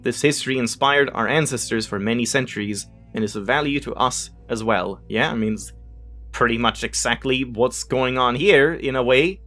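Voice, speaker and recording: A man's voice: medium pitch, moderate pace, fairly bright tone. A man hosting a let's play, reading in-game text aloud with casual asides and tripping over unfamiliar words now and then. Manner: stumbles over unfamiliar words, self-correcting. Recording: music behind the voice. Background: game music.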